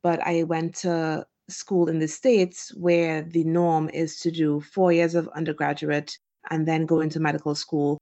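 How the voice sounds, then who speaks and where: A woman's voice: deep voice, little pitch movement, unhurried, hardly any pauses, mellow. A woman from Trinidad and Tobago giving reflective, informal interview answers as a guest, recorded over a remote call with dull, lo-fi sound. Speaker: physician guest on a podcast.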